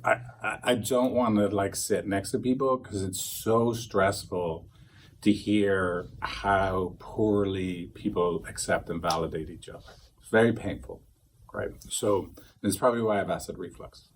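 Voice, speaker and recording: A man with a fairly low voice teaches a small group of therapists in a loose, rambling way. He keeps slipping into acted snippets of quoted conversation. Faint noise sits under the recording. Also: measured pace. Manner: rambling, animated, acts out quoted dialogue. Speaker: couples therapist trainer.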